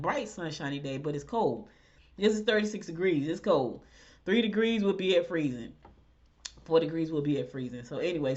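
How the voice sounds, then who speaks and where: A woman's voice: fairly low pitch, highs cut off. Stuffy-nosed woman hosting a podcast. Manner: rambling, animated, talks to listeners directly. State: congested, stuffy nose. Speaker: podcast host. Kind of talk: casual chatty monologue.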